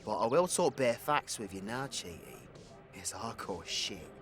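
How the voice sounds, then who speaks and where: Supervillain for audio roleplay, played by a man: British, crude, theatrical, menacing, unhurried delivery with big swings in pitch. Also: lively intonation, fairly bright tone, soft background music. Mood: brooding, confiding. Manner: swaggering, crude, theatrical, dropping into menacing whispers. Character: cocky, foul-mouthed male supervillain with dark magic. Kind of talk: ASMR audio roleplay acting.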